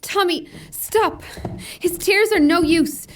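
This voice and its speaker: A woman's voice: very high-pitched, slow, recorded from a distance. A woman playing a desperate mother and wife, by turns cruel and pleading, in an audio horror drama. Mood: pleading, tearful.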